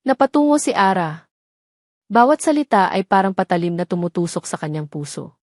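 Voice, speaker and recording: A woman's voice: mid-range voice, very animated, brisk, a little mellow, no echo, clean studio sound. A woman reading scripted dramatic story narration as the narrator.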